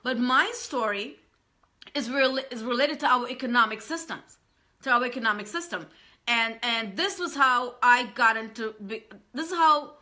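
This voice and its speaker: A woman's voice: on the high side, bright, forceful tone. A woman, earnestly talking to live-stream viewers as a business coach and often repeating herself. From Trinidad and Tobago.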